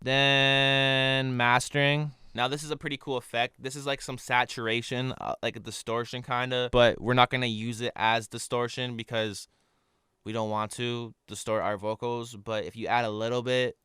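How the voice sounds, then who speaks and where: A man with a noticeably nasal voice talks through a software tutorial in a loose, offhand way. His tone is very smooth and clean. It sounds close and dry, as if from a home studio mic. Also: mid-range voice, moderate pace, no echo. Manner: relaxed, loose, with fillers and trailing asides. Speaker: audio tutorial host. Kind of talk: casual screen-recorded software tutorial. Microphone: studio condenser microphone.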